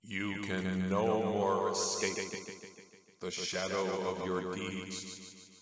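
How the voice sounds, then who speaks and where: A man's voice: low voice, level tone, extremely slow. A man reading an ominous, solemn opening narration for a radio mystery show. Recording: echoey hall playback from far off.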